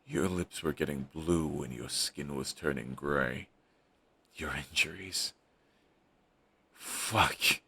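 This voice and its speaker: A man's voice: rumbling low, takes it slowly, creaky, long pauses, bright, forceful tone, breathy edge, exaggerated voice. A young man playing a bitter, fiercely devoted male villain in an audio roleplay, talking in an intense, raw way and swearing often. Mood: grieving.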